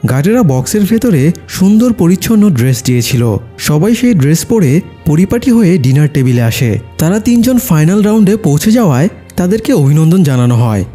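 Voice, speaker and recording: A man's voice: a little high, big pitch swings, a little fast, dark tone, breathy edge. A man narrating a film's plot from a script. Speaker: movie recap narrator. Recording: music behind the voice. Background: background music.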